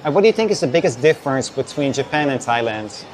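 A man's voice: medium pitch, expressive, fast, background noise. A man interviewing a guest, asking short questions and reacting briefly. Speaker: interviewer, channel host.

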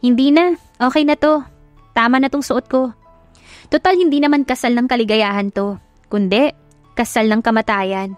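A woman voicing a bitter, defiant young bride with a sharp-tongued, emphatic delivery in an audio drama. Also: fairly high pitch, normal speed, long pauses, no echo, faint music behind, lightly acted. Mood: resigned, bitter.

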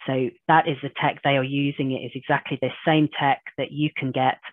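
A woman, hoarse from a cold, giving an online business training webinar and chatting through software demos on a video call. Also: a bass voice, little pitch movement, fairly quick, dry recording. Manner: chatty, self-deprecating explainer walking through screen demos. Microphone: video call webcam. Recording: tinny phone-like call audio.

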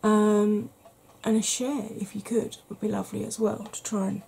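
A middle-aged woman chatting softly in a rambling, gentle way for an ASMR makeup vlog, seated in a small room at home. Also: mid-range voice, level tone, moderate pace, creaky, a little hiss or hum. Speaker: beauty YouTuber. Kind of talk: soft-spoken ASMR makeup chat.

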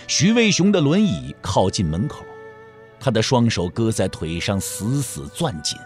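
Animated male narrator over music. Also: a little high. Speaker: audio drama narrator. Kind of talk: scripted literary narration of a martial-arts novel.

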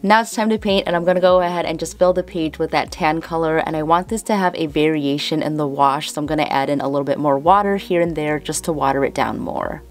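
A woman's voice: low voice, fast talker, few pauses, faint music behind. A woman in her twenties with a Chicago accent, chatting casually as she explains a DIY craft tutorial step by step. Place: indoors, room with houseplants.

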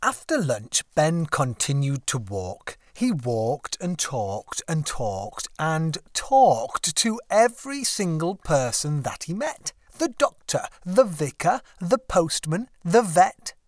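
A man narrating a children's story as an audiobook reader, with expressive, deliberate phrasing. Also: a little high, dry recording, clean studio sound.

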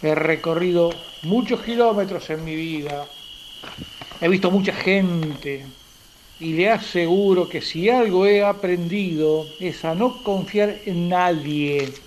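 A man's voice: high voice, takes it slowly, a little hiss or hum, low-quality recording. An actor playing a nervous, distrustful, self-important wealthy man in a radio drama, hesitant and defensive. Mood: guarded, proud.

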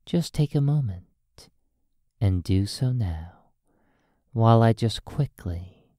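An ASMR hypnosis roleplay by a sleep therapist speaking in a hushed, soothing manner, in drawn-out phrases with long pauses. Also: medium pitch, animated, no room sound, clean studio sound.

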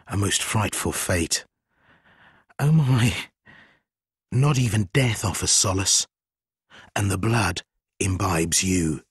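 A man playing a sly, mocking, fawning giant spider with a human head in a dark fantasy video game, speaking in archaic, theatrical, mock-obsequious phrases. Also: deep voice, takes it slowly, pauses often, breathy edge, dry recording, studio quality, heavily acted. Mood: sly, conspiratorial.

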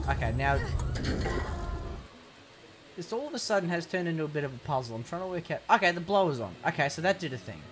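A young man talks over his gameplay, sarcastic and quick to swear. His pitch swoops up and down with each outburst. Music plays clearly in the background. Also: a bit bright. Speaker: gaming commentator. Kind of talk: let's play commentary.

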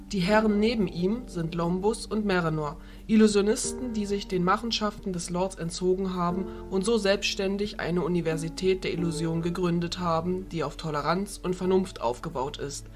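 A woman playing a dignified, diplomatic fairy ruler, speaking in a formal, measured way. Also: lowish voice, close to an everyday voice.

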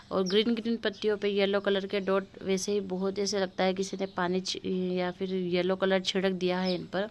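A woman giving a casual, chatty tour of her terrace garden, talking unhurriedly to her viewers with many fillers, filmed on a handheld phone outdoors. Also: medium pitch. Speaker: gardening vlogger. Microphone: phone camera held while filming.

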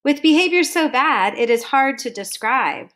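A woman reading a children's storybook aloud to students like a teacher, in a deliberate, sing-song manner with drawn-out phrase endings. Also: high voice, animated, a bit bright, a little hiss or hum. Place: home kitchen, indoors.

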